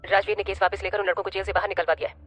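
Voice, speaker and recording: Brief: subdued, resigned man, read by a woman, husky, quick. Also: medium pitch, little pitch movement, faint music behind, lightly acted.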